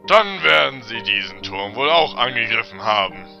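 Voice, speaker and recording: A man's voice: fairly low pitch, expressive, normal speed, creaky, soft background music. A man playing a decisive, commanding leader of fantasy adventurers in an audio drama. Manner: decisive, instructing. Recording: muffled lo-fi amateur recording.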